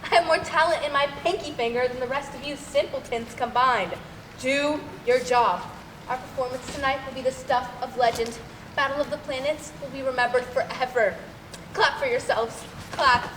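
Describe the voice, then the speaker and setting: A woman's voice: high voice, moderate pace, close to an everyday voice. A young woman playing a pompous, domineering radio playwright and announcer, grandiose and bossy, in theatrical stage comedy. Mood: imperious. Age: young adult. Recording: roomy noisy stage hall, far mic.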